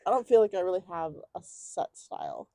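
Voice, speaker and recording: A young woman co-hosting a podcast, chatting casually with a friend, slang-heavy and quick to laugh. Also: lowish voice, huge pitch swings, vocal fry, no echo, studio quality. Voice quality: loud projecting voice. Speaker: podcast co-host. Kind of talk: casual chat.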